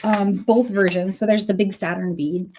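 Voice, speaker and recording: A woman's voice: mid-range voice, fairly even intonation, normal speed, mellow, a little hiss or hum. A woman with a cold, coughing now and then, hosting a casual livestream beading tutorial and chatting with viewers by name.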